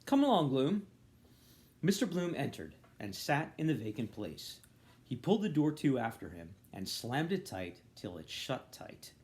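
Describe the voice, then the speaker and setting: Man reading a novel with lively intonation. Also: medium pitch, moderate pace. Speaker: reader of a novel, volunteer. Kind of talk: expressive literary narration.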